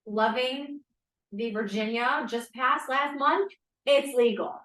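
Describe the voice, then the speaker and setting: An echoey room carries the fairly high voice of a woman acting a confident young scientist in a 1960s drama. She is measured, earnest and firm in her principles, read out as at a table read. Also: fairly bright tone, distant mic.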